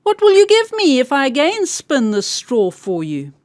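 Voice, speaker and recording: A little old magical man from a storybook, acted out by a woman, gleeful and insistent. The voice sits high and swoops about as he teases and bargains, in a dry, close sound. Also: huge pitch swings, moderate pace, darkish tone, no echo. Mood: bargaining.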